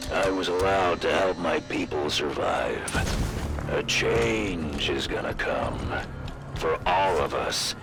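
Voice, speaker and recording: A proud, weary colonial governor in a sci-fi game, low and gravelly. He speaks slowly and solemnly, with loud music behind him. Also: exaggerated voice. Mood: solemn, resigned. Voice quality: deep, gravelly. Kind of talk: dramatic video game acting.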